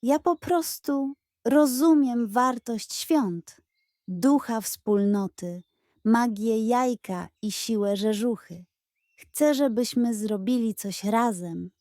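Casting: storybook pug played by a woman, raised pitch, proud and theatrical, grand solemn delivery, crafty streak. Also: slow pace, dark tone, no echo, studio quality. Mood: lofty. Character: cunning, pompous male pug with grand speeches.

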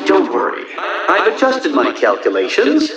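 Game villain: egomaniac male riddle-master, highish and swooping, pompous and mocking, roomy echo. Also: wildly swooping pitch, unhurried, distant mic, crowd noise, full character voice. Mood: haughty. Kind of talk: video game villain voice acting.

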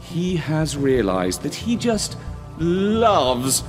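A man voicing a sly, flattering fox with theatrical, smooth talk in a children's storybook. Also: a little high, very slow, faint music behind, pitched above the performer's own voice, heavily acted. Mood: unctuous, preachy.

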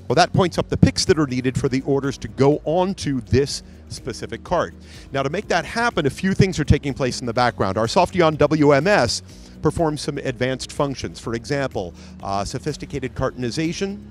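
Trade-show presenter, man, 40s, salesy and upbeat, handheld mic, faint music under. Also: a little high. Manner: upbeat, salesy, pointing things out. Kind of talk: live product demo narration. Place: large convention hall trade booth.

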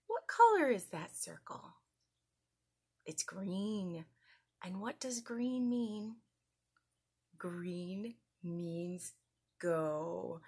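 A woman's voice: mid-range voice, fairly bright tone. A woman in her thirties presenting a children's story time, talking straight to young viewers with exaggerated, encouraging questions. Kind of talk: children's lesson, addressing young viewers directly. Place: small indoor room at home.

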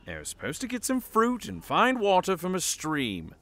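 A man's voice: high voice, huge pitch swings, moderate pace, sharp tone, full character voice. A man playing a grim sailor in a fantasy audio drama. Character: grim male sailor recounting lost crewmates.

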